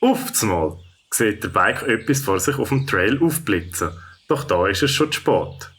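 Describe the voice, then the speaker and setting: A man with a Swiss German accent narrating a children's story in an expressive storytelling way. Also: fairly low pitch, a little slow, husky.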